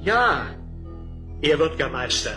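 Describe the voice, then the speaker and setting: A man's voice: a little high, very animated, takes it slowly. An actor playing a servile slave overseer in a fantasy audio drama, addressing his master in an obsequious, ceremonious way. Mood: cowed, submissive. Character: servile overseer of slaves, fawning underling to a sorcerer. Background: music.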